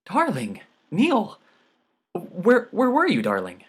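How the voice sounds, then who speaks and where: A middle-aged wife as a male reader puts her on, distantly sweet and polite. The pitch is lifted and the delivery a little hesitant, as in a storybook reading. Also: wildly swooping pitch, slow, breathy edge, heavily acted. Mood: startled.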